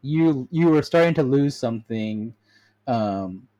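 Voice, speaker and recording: A man's voice: mid-range voice, expressive, slow, warm tone. An American man chatting casually as an interviewer, candid and reflective, feeling his way through his thoughts. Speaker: podcast host, interviewer. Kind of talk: casual chat.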